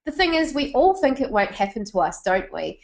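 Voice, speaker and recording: A woman's voice: fairly high pitch, very animated, brisk, creaky. A woman from New Zealand with a Kiwi accent, presenting a business webinar in a chatty way and putting questions to her audience.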